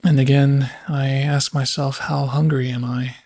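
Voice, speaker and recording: A man leads a guided body scan meditation at a very slow pace. His voice sits at a middle pitch and stays flat and even. He leaves long pauses between short, measured phrases.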